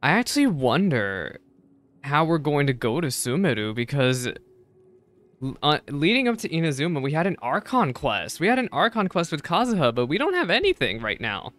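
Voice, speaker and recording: A young man streaming games, giving casual reaction commentary in a chatty, halting way with repeated words. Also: a little high, big pitch swings, fairly bright tone. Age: young adult. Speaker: game streamer. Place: indoor streaming setup. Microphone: close desk microphone, headset worn.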